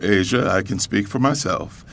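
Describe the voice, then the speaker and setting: A man's voice: lowish voice, normal speed, vocal fry, a bit bright. A man playing a stern, domineering middle-aged father, a powerful politician, speaking coldly and formally in an audio drama. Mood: disapproving. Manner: cold, imperious, formal.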